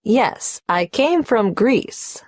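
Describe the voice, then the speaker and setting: A hesitant, careful migrant applicant, answering an official's questions. She speaks slowly and clearly spells out numbers. The voice sounds dry and close to the mic. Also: a little high, lively intonation, darkish tone, no room sound, exaggerated voice. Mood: earnest. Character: hesitant female migrant applying for tax number. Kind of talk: scripted exam dialogue.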